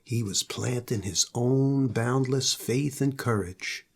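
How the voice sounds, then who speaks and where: An older man, a grandfather, reading a children's storybook aloud in a husky voice. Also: mid-range voice, slow, a little mellow.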